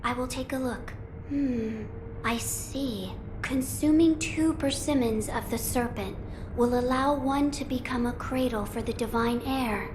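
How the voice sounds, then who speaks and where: A woman playing a solemn, gentle young divine girl in a video game, speaking in a measured, formal, archaic way. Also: fairly high pitch, lightly acted. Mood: grave, resolved. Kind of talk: video game cutscene acting. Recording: clear background ambience noise.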